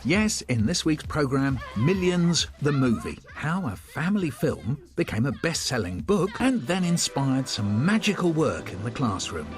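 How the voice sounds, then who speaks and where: Male presenter, animated scripted narration over a faint music bed. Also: mid-range voice, measured pace, few pauses. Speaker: programme presenter. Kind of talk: scripted magazine programme narration.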